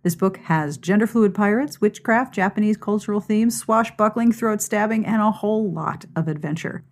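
A woman's voice: medium pitch, moderate pace. A woman hosting a podcast in an informal, playful, conversational way. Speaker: podcast host. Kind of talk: chatty podcast hosting and sign-off.

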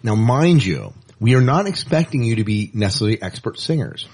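Man, podcast co-host, husky, animated pitch, casual banter, wry asides. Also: a little fast. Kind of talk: casual show rundown and banter.

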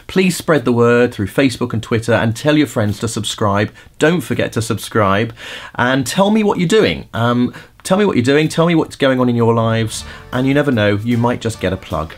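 Man, middle-aged, podcast host, vocal coach, chatty, lots of fillers, mid pitch. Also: lively intonation, normal speed, soft background music. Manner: chatty, many fillers and restarts, laughs at own jokes. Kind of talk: casual spoken vocal tips.

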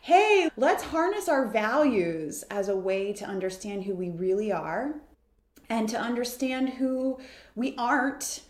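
A woman's voice: fairly high pitch, lively intonation, a little slow. Earnest woman telling her story, roomy sound. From United States. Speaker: interview guest. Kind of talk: reflective interview talk. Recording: roomy echo.

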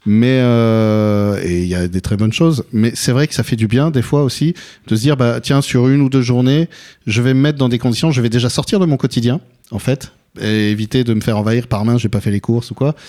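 Mellow-voiced man hosting an interview. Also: mid-range voice, a little fast. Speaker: interviewer and radio host.

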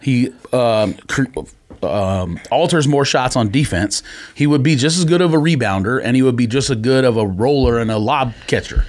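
A man's voice: medium pitch, moderate pace. A man co-hosting a podcast with casual sports analysis, explaining his argument point by point. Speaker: podcast co-host.

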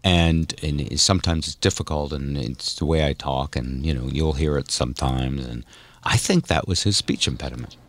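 A man's voice: extremely low pitch, normal speed, no room sound. A man hosting a podcast, telling stories in a casual, informal and self-deprecating way. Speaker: podcast host. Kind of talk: casual chat, storytelling.

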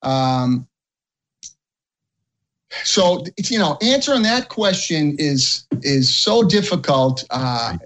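Hesitant high-voiced retired cop. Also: male voice, sing-song, a bit bright. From Boston area. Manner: hesitant, halting, many ums. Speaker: retired police officer. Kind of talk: interview guest answers.

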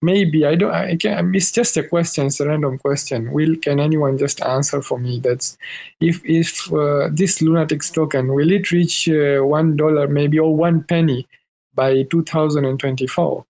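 A man's voice: a little high, fairly even intonation, moderate pace, creaky, a little mellow. A man from Tanzania with a Tanzanian accent, hesitantly asking a question in a live audio room, searching for words.

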